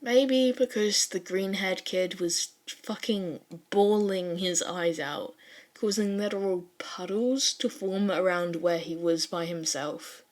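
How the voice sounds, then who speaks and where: A woman reading fan fiction aloud as an expressive amateur narrator, with casual swearing. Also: fairly low pitch, slow.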